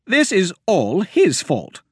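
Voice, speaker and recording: A sharp-tongued young woman adventurer who insists on getting her way and waves off others' fears, as a male narrator puts her on. Her voice sits well above his own, pointed and dismissive, in a storybook fantasy. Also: sits high, wildly swooping pitch, slow pace, slightly rough, no room sound, studio quality, pitched above the performer's own voice, exaggerated voice. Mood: insistent. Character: bossy young woman treasure hunter.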